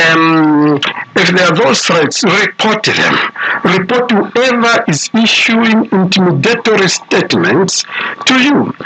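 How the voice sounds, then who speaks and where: A man with a Zambian accent explaining things as a radio interview guest in a measured way, mixing two languages. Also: high voice, vocal fry, low-quality recording.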